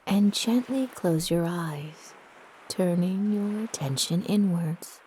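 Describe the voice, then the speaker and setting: A woman narrating a guided meditation in a soft, breathy, gentle and soothing manner with long pauses. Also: lowish voice, slight background noise, low-quality recording.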